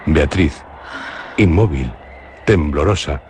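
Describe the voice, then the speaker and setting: A man with a Spanish accent narrating a suspenseful radio drama in a slow, grave, measured way. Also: extremely low pitch, a little mellow, a little hiss or hum. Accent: Spain.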